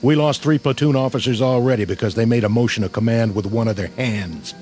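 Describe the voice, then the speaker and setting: An actor playing a battle-hardened, skeptical army sergeant in a radio drama, plain-spoken, folksy and wry. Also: male voice, mid-range voice, a little fast, darkish tone, faint music behind, natural voice. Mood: blunt, dry.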